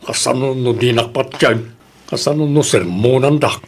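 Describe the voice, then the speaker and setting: A man with an Ilocano accent playing a defensive, exasperated village captain and husband in an audio drama, his voice husky. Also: medium pitch, normal speed, close to an everyday voice. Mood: worried. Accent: Ilocano, northern Philippines.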